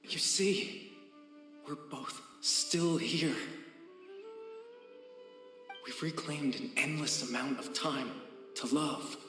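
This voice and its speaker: An actor playing a mysterious, solemn, consoling otherworldly man in a video game, with poetic drawn-out delivery and ghostly reverb. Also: on the high side, a bit bright. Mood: solemn consolation. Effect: long ghostly reverb. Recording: echoing long reverb, faint music.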